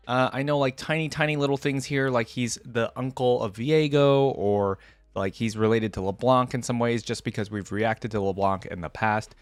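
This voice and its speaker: A man's voice: mid-range voice, no echo. A man hosting a reaction video, informally thinking aloud as he reads and comments. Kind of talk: casual reaction commentary mixed with reading aloud.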